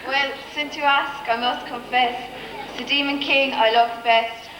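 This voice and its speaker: Stately fairy queen, played by a woman: measured rhyming delivery, amateur stage, echoey recording with clear noise. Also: high-pitched, steady tone, fairly bright tone. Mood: sweetly declaiming. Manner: declamatory, measured verse. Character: sweet, stately fairy queen speaking in rhyme. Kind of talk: amateur stage pantomime acting. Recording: echoey hall, distant, noisy.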